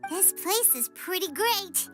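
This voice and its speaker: Super high childlike game fairy, chirpy and caring, bouncing all over the place. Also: female voice, expressive, slow, sharp tone, full character voice. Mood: friendly, caring. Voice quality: squeaky, childlike. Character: small childlike fairy companion, eager and caring. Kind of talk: video game voice acting. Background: music.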